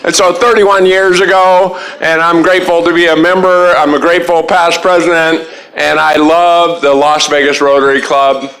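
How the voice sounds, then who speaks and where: A man in his sixties with a notably high voice stands to introduce himself at a club lunch. He speaks in a formal, earnest way, full of gratitude. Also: level tone, moderate pace, a bit bright, low-quality recording. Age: 60s. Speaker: club member, past president. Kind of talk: short self-introduction.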